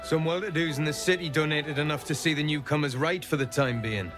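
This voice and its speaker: A man's voice: a little high, fairly quick, lightly acted. A man voicing a friendly, chatty townsman with folksy, affable talk. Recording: game dialogue with music.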